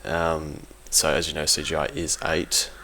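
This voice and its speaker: A man with a low, fairly monotone voice talks off the cuff like a competitive gamer sharing opinions. His tone has a bright, forward edge. He is informal and hesitant, rewording as he goes, over some background noise. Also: a little slow. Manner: hesitant, informal, self-correcting. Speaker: competitive gaming team member giving an audio log. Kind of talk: casual unscripted commentary.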